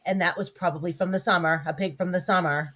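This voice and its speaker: Low-voiced woman explaining a scene. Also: fairly even intonation, fast talker, fairly bright tone. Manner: thoughtful, explains scenes with "like" and "you know". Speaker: podcast co-host. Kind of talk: casual movie-review chat.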